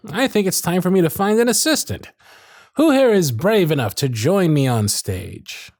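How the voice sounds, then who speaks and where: Man playing a theatrical stage magician, lively swooping delivery. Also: high voice, no room sound, clean studio sound. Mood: showy, theatrical. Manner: grand projected patter, drawn-out dramatic phrasing. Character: showy stage magician, warm and theatrical showman. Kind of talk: ASMR roleplay stage performance.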